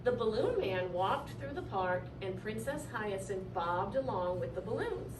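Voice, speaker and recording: A woman reading a children's story aloud in an expressive, animated way, drawing out key words for effect. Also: mid-range voice, unhurried. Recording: roomy, distant mic, clearly noisy.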